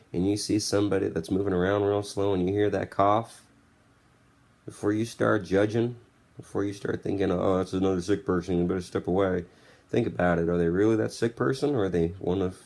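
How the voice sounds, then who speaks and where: A man's voice: low-pitched, creaky, darkish tone. A man in his twenties giving a candid, blunt, casual motivational monologue. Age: 20s. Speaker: podcast host. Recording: music playing behind the voice. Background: background music.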